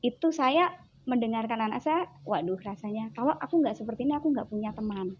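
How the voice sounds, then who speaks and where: A woman's voice: a little high, expressive, a little fast, lo-fi sound, a little hiss or hum. A woman with a Javanese accent, a child psychologist chatting as an interview guest, telling stories animatedly and acting out her child's words. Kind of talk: casual interview chat.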